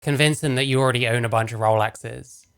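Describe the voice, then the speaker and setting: A man's voice: mid-range voice, steady tone, fairly quick, fairly bright tone, clear, resonant tone. A man doing casual live stream commentary, talking in a rambling, sarcastic, sweary way. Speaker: streamer reacting to a video.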